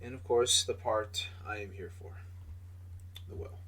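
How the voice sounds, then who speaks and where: A man playing a solemn, formal executor in an audio drama, speaking ceremoniously in a husky voice. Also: lowish voice, unhurried, pushed and bright, low-quality recording. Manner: ceremonious, oratorical.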